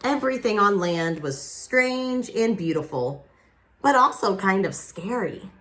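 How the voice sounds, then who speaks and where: Animated woman reading a children's story. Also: medium pitch, a little hiss or hum. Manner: animated, dramatic pauses, chatty asides to young listeners. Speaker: children's picture book reader. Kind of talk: expressive read-aloud storytelling with casual asides.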